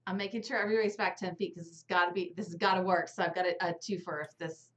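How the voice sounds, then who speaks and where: A woman's voice: lowish voice, steady tone, quick pace, a bit bright, a little hiss or hum. A woman playing in a tabletop game, talking eagerly and explaining her moves at length. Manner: eager, talkative. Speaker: player. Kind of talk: casual tabletop play. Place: online live stream.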